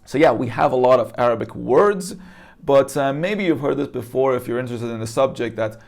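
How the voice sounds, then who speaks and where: A man's voice: medium pitch, a little fast, gravelly, a little mellow. A man speaking casually and conversationally as he explains a subject to viewers, often checking in as he goes. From Iran. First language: Persian. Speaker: presenter.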